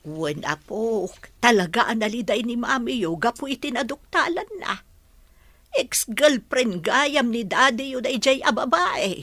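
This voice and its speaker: A woman's voice: fairly high pitch, animated, a little slow, a bit bright, dry recording, full character voice. A woman playing a caring, patient village grandmother in a radio drama, with a northern Filipino accent. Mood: confiding.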